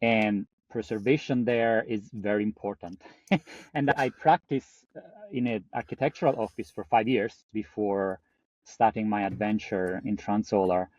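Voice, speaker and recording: Low-voiced Italian man, dry sound. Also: measured pace, no echo, lo-fi sound. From Italy. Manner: lively, laughs often, self-deprecating. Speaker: guest, climate engineer. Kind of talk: podcast interview.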